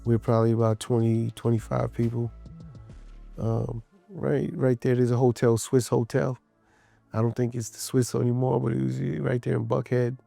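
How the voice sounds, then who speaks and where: Gravelly man telling a street story. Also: medium pitch, flat delivery, normal speed, warm tone, dry recording, faint music behind. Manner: loose, emphatic, quotes people in his story. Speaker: interviewee. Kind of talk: casual storytelling in an interview.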